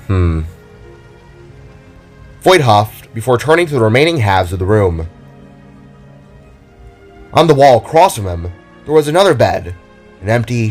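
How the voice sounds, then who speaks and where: A man reads dramatic story narration with deliberate, theatrical phrasing. His pitch is a little on the low side and moves expressively, with faint music underneath. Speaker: narrator and author.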